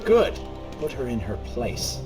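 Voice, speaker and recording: Dark tempter inside the head, mid-pitched, sly and swooping, game drama with light music. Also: male voice, sing-song, takes it slowly. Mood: sly, commanding. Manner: sly, mocking. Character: dark inner voice, smug, sinister male tempter. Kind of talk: dramatic video game cutscene acting. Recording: music faintly behind.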